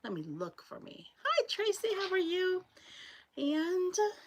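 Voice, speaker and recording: A woman's voice: extremely high pitch, wildly swooping pitch, measured pace, slight background noise. A woman from Colombia with a Colombian Spanish accent, hosting a live crafting stream and chatting with viewers as they join. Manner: chatty, greets viewers by name, warm thanks. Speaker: crafting livestream host.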